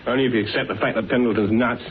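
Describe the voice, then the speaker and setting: Cheeky young male sidekick, actor, radio drama: rapid, blunt, informal, narrow vintage radio sound. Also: medium pitch, steady tone, natural voice. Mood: blunt, curious. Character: brisk, cheeky young male detective's assistant, blunt and sceptical. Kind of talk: classic radio drama acting. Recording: muffled, hissy old radio recording.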